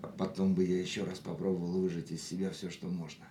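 A man's voice: level tone, fast talker, warm tone, natural voice. An actor in a radio drama playing a philosophical, risk-loving man with dramatic, declamatory phrasing. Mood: intense, reflective. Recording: echoey radio studio, faint rumbling noise.

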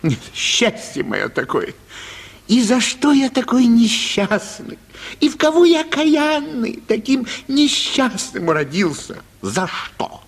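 An actor playing a histrionic, self-pitying theatre impresario in a radio play, declaiming and wailing in a raspy, creaky voice. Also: male voice, very high-pitched, wildly swooping pitch, takes it slowly, full character voice. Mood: tragic self-pity. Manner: declamatory, wailing, breast-beating exclamations. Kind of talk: Russian radio play acting of a classic story.

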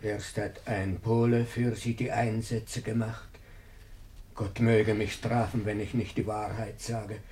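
An actor playing a fretful, devoted old Russian manservant in a German radio play, deferential and lamenting. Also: male voice, fairly low pitch, little pitch movement, slow pace, darkish tone, a little hiss or hum. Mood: anxious, lamenting.

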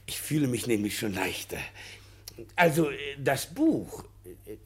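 An actor playing an evasive, self-important gentleman in a German radio play, stammering and repeating his words as he blusters. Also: medium pitch, big pitch swings, unhurried. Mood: jovial, relieved.

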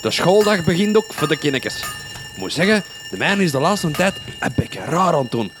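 A man's voice: fairly high pitch, very animated, moderate pace, noisy background. A man with a Flemish accent playing a hearty, chatty working-class blacksmith in a comic radio drama, in folksy dialect.

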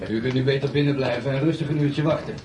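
A man's voice: mid-range voice, fairly even intonation, fast talker, recorded from a distance, close to an everyday voice. Confident protector male actor, reassuring, echoey noisy radio play recording. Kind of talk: radio drama acting.

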